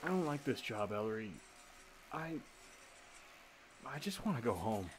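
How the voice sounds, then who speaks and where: A man plays a young detective's assistant in a radio mystery. The figure is tender and plaintive, at a middling pitch, with faint noise on the recording. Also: very animated, unhurried. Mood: sympathetic. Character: sympathetic, homesick young assistant Nikki. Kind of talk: old-time radio drama acting. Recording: noisy amateur radio play recording.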